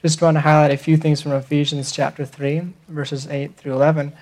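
A man's voice: fairly high pitch, flat delivery, brisk, darkish tone. A young man speaking as a visiting missionary at church, earnest and hesitant, with frequent ums and restarts. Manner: earnest, hesitant with many fillers.

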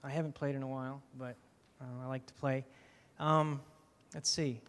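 A man from Michigan, a pastoral candidate, sharing his background in a mild, soft-spoken, rambling way. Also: mid-range voice, flat delivery, normal speed. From Michigan, USA. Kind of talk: casual personal testimony. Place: church hall. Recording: reverberant hall audio.

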